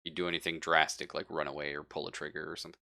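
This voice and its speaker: A man's voice: rumbling low, level tone, very fast, gravelly, pushed and bright. A man narrating a tabletop roleplaying game as game master, casual and joking as he improvises.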